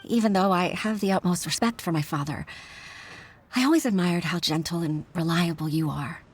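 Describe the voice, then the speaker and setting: A woman's voice: fairly low pitch, moderate pace, husky. A woman playing an earnest, humble secretary and mother, speaking politely and heartfelt in an anime video game. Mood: sincere, admiring.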